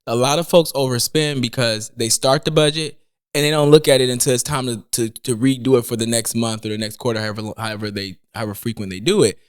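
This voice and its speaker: A man's voice: medium pitch, fairly even intonation, fast, clean tone, dry recording. Dry-sounding man explaining finances. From Detroit, USA. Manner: explains plainly, often checks in with "right?". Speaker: guest, finance speaker. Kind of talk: casual podcast conversation.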